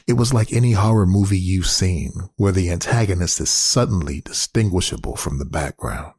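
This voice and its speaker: A man reading a poem aloud in a measured, deliberate literary style. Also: deep voice, a little mellow, breathy edge, dry recording, clean studio sound. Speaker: poetry reader.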